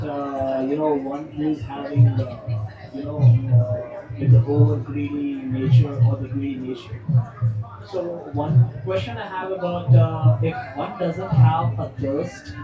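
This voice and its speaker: Dull echoey sound, man, higher pitched, audience questioner, halting and rephrasing, music behind. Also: far from the mic. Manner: halting, repeats and rephrases phrases. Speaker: audience member asking a question. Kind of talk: spontaneous question at a live talk.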